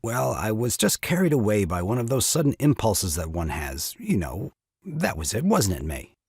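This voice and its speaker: An actor playing an excitable, scheming young father and ingratiating nephew in an audio farce, flustered and wheedling. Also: fairly low pitch, normal speed, breathy edge, no room sound, clean studio sound, full character voice. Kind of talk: stage farce audio drama acting.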